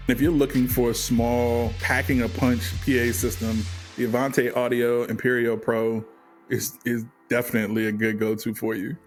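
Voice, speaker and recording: An American man speaks off the cuff in a casual product testimonial. His delivery stays fairly flat in pitch, at a middle register. Light music sits behind his voice. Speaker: audio consultant and product reviewer.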